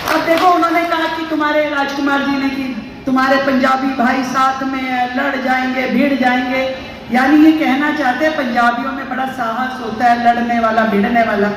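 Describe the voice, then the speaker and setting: A woman's voice: high voice, fairly even intonation, normal speed, a bit bright, a faint crowd. A woman giving a chatty, anecdotal speech at a ceremony, raising her voice for punchlines. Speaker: political speaker. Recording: echoey hall, mic from far off.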